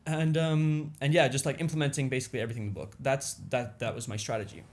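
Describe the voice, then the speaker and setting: A man's voice: mid-range voice, steady tone. A young man whose first language is Indonesian, chatting casually as a podcast guest and often repeating himself. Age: young adult. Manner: repetitive, halting phrasing with frequent "you know" and "like". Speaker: podcast guest, business owner. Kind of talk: casual interview chat. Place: small indoor podcast studio, seated on a couch. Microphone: boom-arm studio mic with pop filter.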